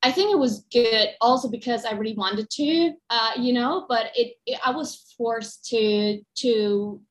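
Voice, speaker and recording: German woman on a podcast, highish voice, candid rambling chat, lots of ums. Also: a little slow. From East Germany. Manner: candid, storytelling, many ums and restarts. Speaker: podcast guest, coach. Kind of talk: casual interview chat.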